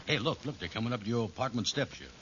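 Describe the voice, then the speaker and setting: A man playing an easygoing, slow-witted pal in a 1940s radio sitcom, talking in a folksy comic dialect. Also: medium pitch, animated, a bit bright, close to an everyday voice. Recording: dull, hissy old radio recording.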